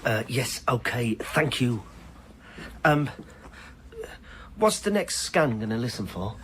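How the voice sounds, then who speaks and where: An anxious, brooding man, swinging pitch. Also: big pitch swings, takes it slowly, fairly bright tone, slight background noise. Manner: earnest, troubled, hesitant. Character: anxious, brooding hypochondriac man haunted by his dreams. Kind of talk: radio drama acting.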